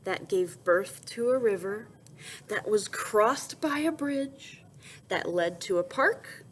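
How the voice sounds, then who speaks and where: A woman's voice: medium pitch, slight background noise. A woman reading a picture book aloud to young children like a teacher, expressively, with questions and asides. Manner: expressive storytelling, pausing to ask questions and answer softly.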